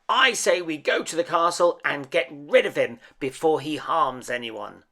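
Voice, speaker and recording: A man voicing an aggressive storybook villain who rouses a mob, loud and threatening. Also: fairly high pitch, animated, a little slow, pitched above the performer's own voice, exaggerated voice. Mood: menacing. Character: aggressive, rabble-rousing male villain.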